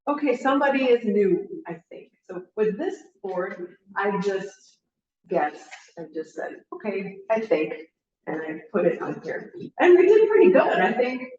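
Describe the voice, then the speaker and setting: A woman's voice: mid-range voice, very animated, measured pace, a little mellow. A middle-aged woman leading a language teacher training, friendly and encouraging, putting questions to the group. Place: classroom. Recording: roomy, distant, slightly dull.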